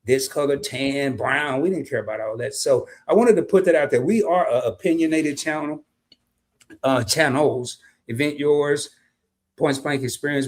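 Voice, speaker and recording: A man with a slightly raised voice talks loosely on a live stream, reading out viewer comments. He swings into animated stories and fast asides, keeping the tone casual and chatty. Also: a little high. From family from Arkansas and Chicago, lived in California. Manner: animated storyteller who drops into quick asides and reads comments in voices. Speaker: co-host of a live discussion stream.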